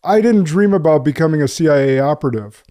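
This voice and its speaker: A man's voice: fairly high pitch, expressive, fast, dark tone. A man hosting a podcast, asking loose, rambling questions and often correcting himself. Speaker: podcast host. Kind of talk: casual interview questions.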